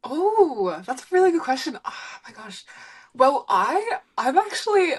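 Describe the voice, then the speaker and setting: A woman's voice: high voice, big pitch swings, unhurried. A young woman from Hungary, a college basketball player, chatting as a guest in a friendly, bubbly way with lots of "like". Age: young adult. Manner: bubbly and friendly, with frequent "like" and laughs. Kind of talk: casual interview.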